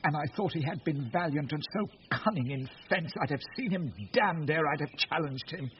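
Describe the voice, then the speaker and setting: An actor playing a cowardly, foolish gentleman knight, whining and petulant in a Shakespearean radio comedy. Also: fairly high pitch, moderate pace, lightly acted. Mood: frightened, petulant. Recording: dull noisy radio recording.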